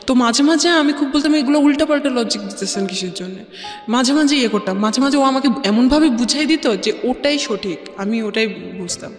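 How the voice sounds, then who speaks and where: Female interview guest, Bangladeshi accent, high pitch, halting personal storytelling, echoey reverb. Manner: halting, repeats phrases, mixes in English words. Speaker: guest telling own life story. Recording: echoey reverb, faint music bed. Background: faint music.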